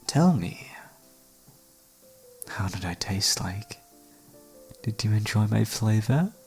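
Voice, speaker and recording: Guy doing a flirty obsessive kidnapper for asmr roleplay, gravelly and swoopy, mock polite, music underneath. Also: lowish voice, expressive, slow, a little mellow, exaggerated voice. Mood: flirtatious, menacing. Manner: teasing, mock-polite, theatrical, savouring words. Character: obsessive, flirtatious male serial killer holding a detective captive.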